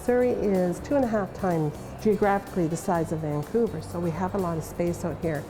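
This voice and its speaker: A woman speaking for her city in a promotional interview, listing its features in a proud, measured way. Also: fairly low pitch, low-quality recording. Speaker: local city representative interviewee. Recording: music bed behind the voice.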